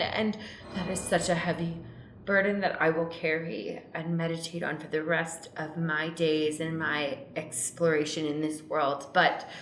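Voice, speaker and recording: A woman playing an earnest female monk on a spiritual journey in an improvised radio play, speaking in halting, grave speeches. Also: on the low side. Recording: roomy echo with faint noise.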